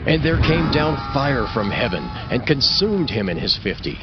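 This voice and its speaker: A man reading scripted scripture narration for a dramatized audiobook in a solemn, measured way. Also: mid-range voice, very animated. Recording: slightly dull audio with music behind. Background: music.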